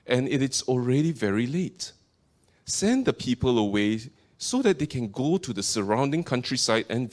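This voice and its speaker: A male guest preacher with a Singaporean accent, speaking at a middle pitch with an earnest, fervent delivery. He moves between warm greetings, heartfelt prayer full of repeated appeals to the Lord, and a measured reading of scripture to a congregation.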